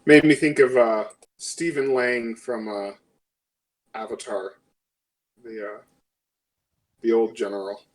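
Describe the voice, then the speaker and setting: A man chatting casually and a little haltingly as a player improvising in a tabletop role-playing game. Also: mid-range voice, steady tone, recorded from a distance, poor audio.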